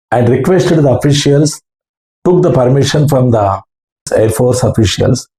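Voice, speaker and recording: A man with an Indian accent tells of his own experience at an unhurried, halting pace. His voice is soft-edged and mellow, and he builds each sentence in short, deliberate pieces. The recording has an echoing, roomy sound. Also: mid-range voice. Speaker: ham radio operator. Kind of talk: recounting a personal experience.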